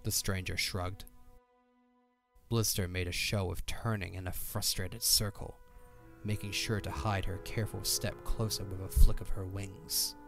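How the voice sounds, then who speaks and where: Male narrator, very deep, for dramatic scripted storytelling over a soft music bed. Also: flat delivery, a little slow, gravelly. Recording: background music under the voice.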